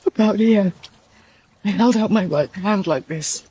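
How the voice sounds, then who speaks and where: A man's voice: sits high, a little slow, dark tone, husky, exaggerated voice. A British actor playing a guilt-ridden, despairing young man who is resigned to death, in an audio drama. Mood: numb. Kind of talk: full-cast audio drama acting.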